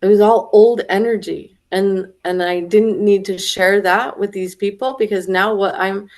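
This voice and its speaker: A young woman in casual conversation, animated and laughing as she acts out quoted voices, a little stuffy from a head cold. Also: mid-range voice, unhurried. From Florida. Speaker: retreat attendee.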